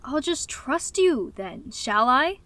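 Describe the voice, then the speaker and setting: A woman voicing a sardonic, guarded young dragon with a dry, sarcastic delivery for an animated fan dub. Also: high voice, slow pace, full character voice. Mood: sarcastic, challenging.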